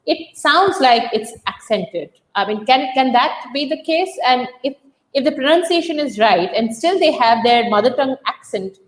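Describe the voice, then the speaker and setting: Woman interviewer, hesitant, filler-heavy questions, fairly high voice, roomy echo. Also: lively intonation, normal speed. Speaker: interviewer, host.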